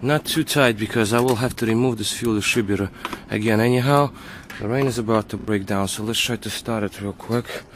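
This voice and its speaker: Low-voiced man fixing a car. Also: a little fast, hardly any pauses, a little hiss or hum. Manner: talks to himself while working, short muttered remarks. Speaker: home mechanic. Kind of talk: hands-on repair walkthrough. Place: outdoors at a car's engine bay.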